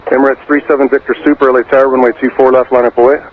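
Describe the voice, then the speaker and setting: Fast-talking male tower controller on tinny radio. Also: medium pitch, flat delivery. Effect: aviation radio. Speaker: air traffic tower controller. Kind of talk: clipped radio phraseology, procedural instructions. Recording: narrow tinny radio transmission, faint noise.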